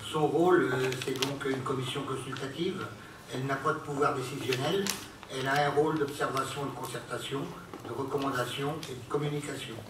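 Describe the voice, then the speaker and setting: A man, an elected local official, formally presenting a council report in a halting, word-searching way. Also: medium pitch, fairly even intonation. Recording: very echoey hall, distant mic, noisy.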